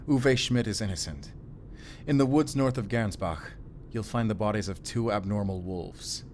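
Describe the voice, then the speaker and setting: A stoic werewolf hunter with a fairly low, steady voice, as a man acts him out, calm and matter-of-fact with a dry wit. He sounds like he is in an audio roleplay drama, with a faint hiss under the voice. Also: moderate pace, lightly acted. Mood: grave. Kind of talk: cinematic audio roleplay acting.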